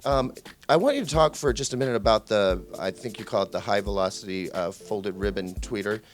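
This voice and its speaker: Tech reviewer guy, kinda low voice, casual interview chat, lots of ums, faint music in back. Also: fairly quick.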